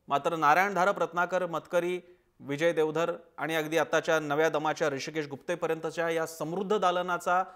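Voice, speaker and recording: A man giving a dramatic, scripted literary reading with measured, expressive phrasing. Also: on the high side.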